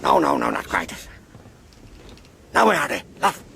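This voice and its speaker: A man's voice: fairly high pitch, very animated, unhurried, creaky, pushed and bright, slight background noise, exaggerated voice. A man playing a wounded, wily old pirate in a radio drama, taunting and menacing in salty seafaring dialect. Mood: commanding, wily.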